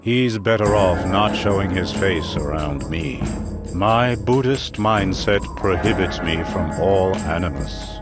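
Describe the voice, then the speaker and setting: Slow, deep, deluded mystic. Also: male voice. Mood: calmly menacing. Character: eccentric asylum inmate, pompous deluded would-be mystic. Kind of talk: adventure game character acting. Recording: loud music under the voice.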